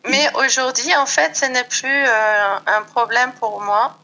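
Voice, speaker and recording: Woman, Persian accent, slow and hesitant, bright voice, slightly dull recording. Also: a little high. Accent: foreign accent in French. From Iran. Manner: hesitant, many pauses and fillers. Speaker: guest. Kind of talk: slow reflective interview talk.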